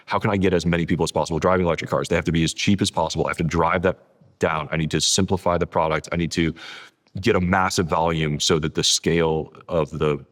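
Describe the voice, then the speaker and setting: A man talking conversationally as an interview guest, explaining things and repeating words for emphasis. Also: deep voice. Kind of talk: conversational long-form interview talk.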